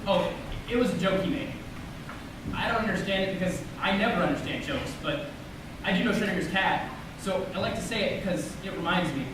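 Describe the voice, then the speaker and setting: A man's voice: sits high, fairly quick, lightly acted. A man playing an earnest, literal-minded teenage boy in a stage play, explaining things pedantically and in great detail, with stumbles. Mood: eager, flustered. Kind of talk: amateur one-act stage play acting. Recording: echoey distant stage recording, noisy.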